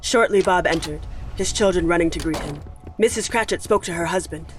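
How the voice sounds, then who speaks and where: A man narrating a dramatic story in a measured, solemn manner. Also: high voice, faint music behind, close to an everyday voice. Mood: grave.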